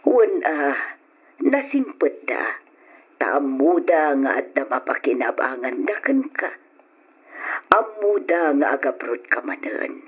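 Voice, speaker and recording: An actress playing a caring elderly mother in an old-style radio drama, consoling and counselling her grown son with gentle sighs. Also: deep voice, very animated, takes it slowly, husky, exaggerated voice. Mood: consoling, resigned. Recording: dull lo-fi radio drama recording.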